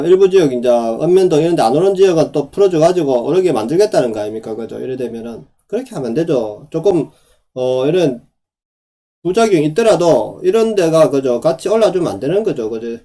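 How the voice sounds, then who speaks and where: A middle-aged man with a sore, hoarse throat, giving insistent solo commentary on housing policy and asking the viewer to agree again and again. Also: fairly high pitch, warm tone. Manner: repeats "그죠?" to the viewer, rhetorical and insistent. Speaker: real estate commentator.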